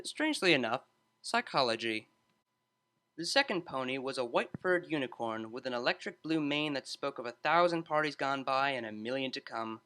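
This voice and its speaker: A man's voice: on the high side, sharp tone. A man reading a scripted story aloud as an audiobook narrator.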